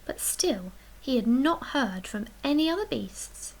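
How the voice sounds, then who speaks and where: Slow, higher-voiced woman reading a bedtime story. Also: animated, low-quality recording. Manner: slow, deliberate, dramatic emphasis with hushed, breathy dips. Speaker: storyteller reading a children's picture book. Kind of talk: expressive read-aloud bedtime story narration.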